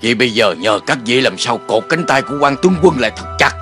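A man playing a humble old physician in a dubbed historical cartoon, measured and respectful. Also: medium pitch, expressive, music in the background, close to an everyday voice.

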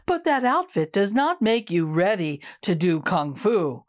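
A wise old broccoli master from a storybook, played by a woman. The voice drops lower than the narration, measured, amused and a little patronising. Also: sing-song. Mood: gently dismissive.

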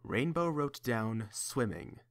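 A man's voice: mid-range voice, expressive, slow. A man narrating a scripted story.

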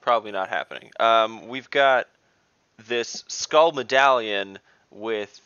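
A man with a low, gravelly voice talking bluntly as a tabletop player. He sounds close and dry over a slightly muffled call. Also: slow pace, pushed and bright, dry recording. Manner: blunt, matter-of-fact. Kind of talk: casual in-character game chat. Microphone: video call.

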